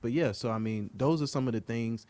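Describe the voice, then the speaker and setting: A man from Liberia, a guest on a podcast, talking in a relaxed, earnest way with many ums. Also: mid-range voice, fairly quick. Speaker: guest, outdoor group co-founder. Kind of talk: casual podcast interview.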